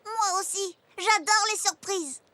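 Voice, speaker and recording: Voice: very high-pitched, a little slow, bright, forceful tone, no room sound, exaggerated voice. A performer voicing a curious young zebra child in a children's cartoon, with a keen, pleading manner.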